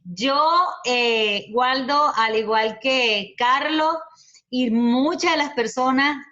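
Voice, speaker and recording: Woman presenter, slow and bright, on muffled video call audio. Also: high voice. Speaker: host. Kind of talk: formal welcome and introduction.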